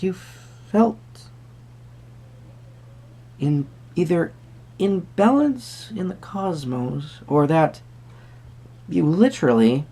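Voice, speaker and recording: A man playing an eccentric, courtly tarot card reader, speaking softly and politely to the listener with theatrical, rambling musings and murmured asides in a husky, breathy voice. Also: fairly high pitch, heavily acted. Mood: courteous, mysterious. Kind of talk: soft-spoken tarot reading roleplay to the viewer.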